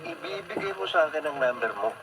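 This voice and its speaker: Lovestruck, high-voiced young Filipino student. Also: male voice, wildly swooping pitch, brisk, vocal fry, exaggerated voice. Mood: hopeful, wooing. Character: lovestruck, dramatic young male student, pleading and persistent. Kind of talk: Filipino radio drama acting. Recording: very noisy radio broadcast.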